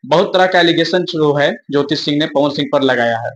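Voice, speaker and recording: A man's voice: on the high side, brisk, lo-fi sound. A young man giving informal news commentary to viewers in an emphatic, repetitive explaining manner. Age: young adult.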